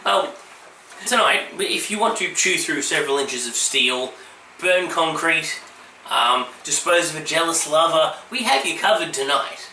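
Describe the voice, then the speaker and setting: A man's voice: fairly high pitch, lively intonation, unhurried, bright, forceful tone. A man co-hosting a casual comedy podcast while getting over a virus, trading dry quips with lots of ums. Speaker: podcast co-host. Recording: echoey room, distant mic, faint hiss.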